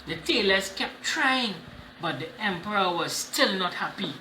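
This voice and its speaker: A man's voice: high-pitched, expressive, a little slow, sharp tone. A man with a Trinidadian accent hosts a children's storytime, reading expressively and explaining phrases in simple words. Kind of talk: animated picture-book reading. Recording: roomy echo with faint noise.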